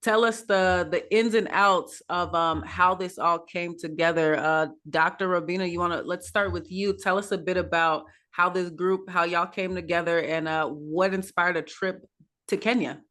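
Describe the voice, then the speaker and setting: A woman's voice: lowish voice, fairly even intonation, normal speed, a bit bright. A woman hosting a panel over a video call, upbeat and starting her sentences with "now". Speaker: host.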